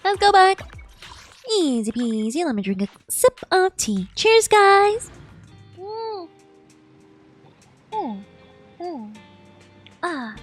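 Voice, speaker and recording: A high-voiced woman game streamer with very lively intonation. She is playful and expressive, switching between reading game text aloud and reacting, with soft music behind her. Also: slow pace, pauses often. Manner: playful, expressive, cutesy exclamations.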